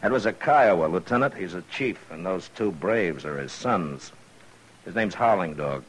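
Frontier marshal, deep voice, laconic, deliberate, steady authority, 1950s western drama, slight hiss. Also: male voice. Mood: dry, firm. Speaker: lead actor in a western radio drama. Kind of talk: 1950s radio drama acting. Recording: noisy vintage 1950s radio recording.

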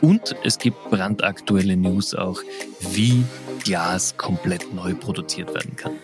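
A man's voice: low-pitched, unhurried, music in the background. A man hosting a podcast, introducing the episode and asking his guest questions with enthusiasm. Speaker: podcast host.

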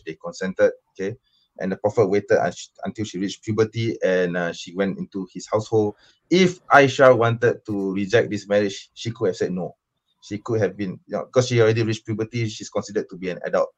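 A man from Malaysia with a very low voice argues his position in an online debate. He talks insistently, full of fillers and restarts. The audio is dull and lo-fi. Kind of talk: online debate answering questions.

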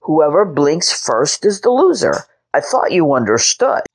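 A woman voicing a patient male cyclops who explains things calmly. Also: deep voice, unhurried, slightly rough, exaggerated voice. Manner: calm, explaining. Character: patient, calm male one-eyed giant.

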